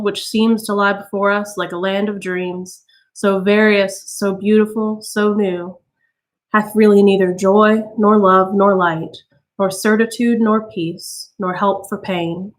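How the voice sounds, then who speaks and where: Woman reader as a stiff fireman reading poetry aloud in slow, measured lines; audiobook figure. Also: medium pitch, steady tone, lightly acted. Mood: solemn.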